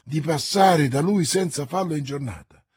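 A man voicing an excited young male manservant who talks in eager, breathless exclamations. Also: fairly high pitch, normal speed, slightly rough, no room sound, studio quality.

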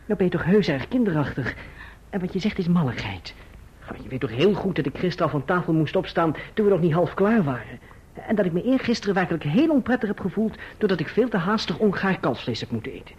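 An actor playing a patient, doting young husband in an old radio drama, speaking in a tender, coaxing, earnest way. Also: male voice, on the high side, expressive, quick pace, breathy edge. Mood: reproving, patient. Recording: dull, faintly noisy old radio recording.

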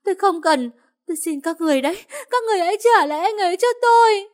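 A woman's voice: extremely high pitch, animated, quick pace, pitched above the performer's own voice. A woman voicing a grieving young woman who screams and pleads through sobs. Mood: anguished sobbing. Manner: wailing, sobbing, pleading. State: crying.

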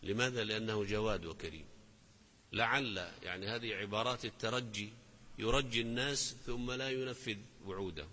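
A man teaching a lesson, explaining lines of poetry and reciting verses slowly with emphasis. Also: fairly low pitch, sharp tone, in a large hall, a little hiss or hum. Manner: slow, deliberate recitation of verses with rising emphasis.

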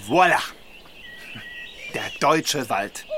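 An actor playing a sardonic, know-it-all detective inspector in a radio crime drama, teasing and lecturing. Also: male voice, on the high side, animated, very slow, over music. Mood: wry, impatient. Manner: mocking, lecturing. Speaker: police detective.

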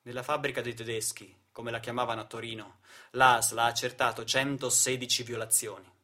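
A man's voice: medium pitch, little pitch movement, a little fast, pushed and bright. Dramatic male stage narrator. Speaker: actor-narrator. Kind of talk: theatrical monologue, political storytelling.

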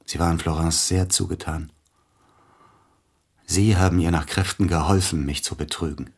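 A man's voice: low voice, steady tone, moderate pace, gravelly, darkish tone, breathy edge, exaggerated voice. A man playing an aging, bitter, melancholy celebrated pianist in a radio play, speaking in a formal, brooding, theatrical way. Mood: accusing, resigned.

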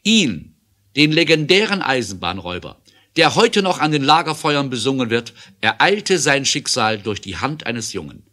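A man's voice: medium pitch, big pitch swings, moderate pace, sharp tone. A man narrating an adventure audio drama in an emphatic, theatrical way.

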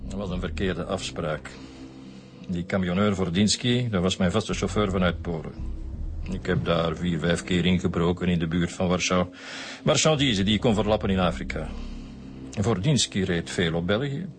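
Man playing old flemish crook confessing, low voice, self-justifying, radio drama w music behind. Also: moderate pace, close to an everyday voice. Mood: defensive, confessing. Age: older. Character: aging male career thief and smuggler confessing to killing.

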